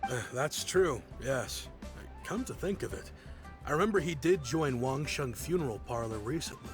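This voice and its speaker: A man's voice: medium pitch, animated, moderate pace, lightly acted. A man playing a reflective kindly merchant. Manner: friendly, reflective, softly rueful. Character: nostalgic grown-up male merchant, proud but kindly. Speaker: businessman. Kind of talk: video game voice acting. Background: game music.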